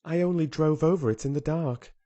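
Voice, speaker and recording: A man's voice: fairly high pitch, a little fast, warm tone, dull audio, pitched above the performer's own voice, natural voice. A man reading aloud who voices a headstrong, contrary young girl with an earnest, blunt way of speaking.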